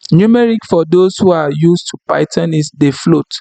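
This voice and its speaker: A man whose first language is French, walking viewers through a software tutorial and checking in often with "okay?" and "right?". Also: fairly high pitch, normal speed, creaky, no echo. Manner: explanatory, frequent "okay?" and "right?" check-ins. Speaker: tutorial instructor. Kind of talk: screen-recorded software tutorial.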